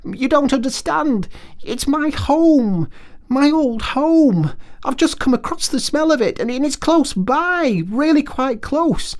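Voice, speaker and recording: A high, pleading little Mole. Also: male voice, expressive, slow, full character voice. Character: anxious timid male mole, pleading.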